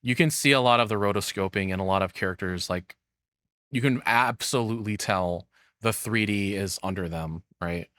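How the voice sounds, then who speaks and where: A man's voice: fairly low pitch, moderate pace, fairly bright tone, dry recording, studio quality. A man giving casual, opinionated commentary, rambling and swearing bluntly as he goes. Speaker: streamer reacting to a video.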